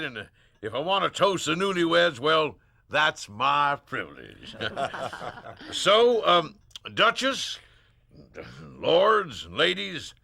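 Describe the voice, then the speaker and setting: An actor playing a pushy, blustering middle-aged American father in a radio drama, with a gravelly voice. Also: on the high side, pushed and bright, dry recording, studio quality, full character voice.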